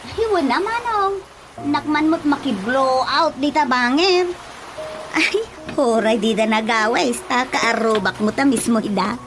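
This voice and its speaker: Loud music plays under a high-pitched chatty village woman with an Ilocano accent. She talks in an animated, familiar, gossipy way. It is radio drama comedy. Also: unhurried, poor audio. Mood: chatty, teasing.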